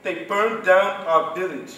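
A man's voice: sits high, measured pace. Man acting an anguished teenage refugee boy, echoing stage. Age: young adult. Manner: impassioned, pleading, swinging between cries and hushed grief. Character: anguished seventeen-year-old Darfuri refugee boy, protective older brother. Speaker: student performer. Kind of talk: theatrical dramatic monologue on stage. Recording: roomy, distant stage recording, faint noise.

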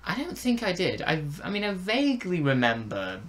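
A young man in casual review chat, speaking haltingly and tentatively as a co-host in a small bedroom. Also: sits high, wildly swooping pitch, fairly bright tone. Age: young adult. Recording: noisy room ambience.

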